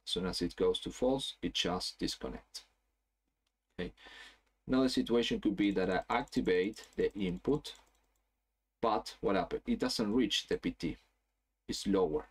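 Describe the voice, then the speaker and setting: Low-voiced man explaining software, chatty. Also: normal speed, long pauses, slightly rough. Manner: casual, explanatory, frequent "okay?" checks. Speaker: software trainer. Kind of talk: screen-share tutorial.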